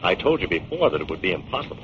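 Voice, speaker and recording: A man's voice: deep voice, big pitch swings, very fast, a bit bright. A man playing a firm, earnest scientist crime-fighter with an authoritative manner in a 1940s radio drama. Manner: authoritative, explanatory. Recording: muffled, noisy 1940s radio recording.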